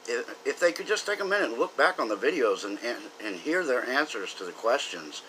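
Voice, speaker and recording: A man's voice: medium pitch, big pitch swings, fairly quick, sharp tone, slight background noise, rough recording. An American man from the South giving an earnest, opinionated, unscripted political monologue to camera, speaking emphatically. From southern United States. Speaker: political commentator.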